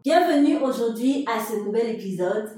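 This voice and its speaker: A woman's voice: fairly high pitch, expressive, normal speed, dark tone. A woman co-hosting a podcast who asks questions in a friendly way and affirms the guest often. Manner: friendly, with frequent affirming murmurs. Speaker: podcast co-host. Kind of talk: conversational interview hosting. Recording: echoey distant room sound with faint music.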